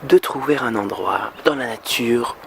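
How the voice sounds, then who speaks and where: A man from Canada putting on a European French accent with some Québécois turns, speaking as a singer and vocal coach in a casual, humorous vlog, mock-earnest and halting as he drifts into asides. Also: medium pitch, vocal fry, very noisy. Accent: French, deliberately European French with some Québécois turns.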